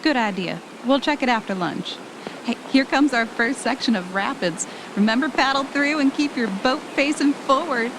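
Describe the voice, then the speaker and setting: A woman's voice: high-pitched, animated, moderate pace. A woman acting an affectionate, easily unnerved young wife in an amateur audio drama, speaking casually and conversationally. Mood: cheerful. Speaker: actor in an amateur radio drama. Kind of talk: naturalistic audio drama dialogue. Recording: noticeable background noise, amateur recording.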